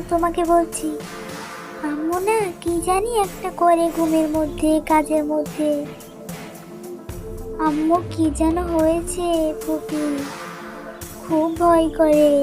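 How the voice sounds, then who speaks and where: A woman's voice: very high voice, flat delivery, takes it slowly, pauses often, music in the background. A woman voicing an eager, childlike young boy in a family cartoon. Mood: worried. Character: young boy, eager and complicit with his mother. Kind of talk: cartoon voice acting.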